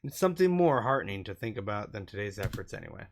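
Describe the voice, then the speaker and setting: A man's voice: on the low side, sing-song, fast, slight background noise. A man doing let's play narration, reading visual novel text aloud as a gaming host and sometimes stumbling over words.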